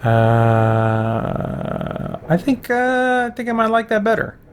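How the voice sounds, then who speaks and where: A man's voice: high voice, very animated, takes it slowly, a little hiss or hum. A man hosting a gaming video, thinking aloud and exclaiming at the game in casual let's-play commentary. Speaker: gaming video host playing a fantasy RPG.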